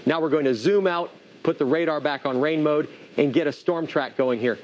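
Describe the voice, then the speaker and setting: A man's voice: fairly high pitch, moderate pace, a little mellow, lo-fi sound. A man working as a TV meteorologist, giving live, conversational and explanatory severe weather commentary.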